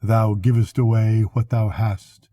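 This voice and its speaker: A man's voice: fairly low pitch, flat delivery, a little slow, warm tone, no echo, lightly acted. An older man voicing a sly, evil, tempting soul in a storybook reading, with coaxing, archaic speech. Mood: mocking.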